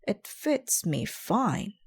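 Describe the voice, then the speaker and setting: A woman voicing an earnest, bumbling, excitable male dinosaur detective from a storybook, with emphatic delivery. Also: mid-range voice, drawn-out words, husky, pitched above the performer's own voice, exaggerated voice. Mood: earnest, puzzled. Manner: emphatic, exclamatory.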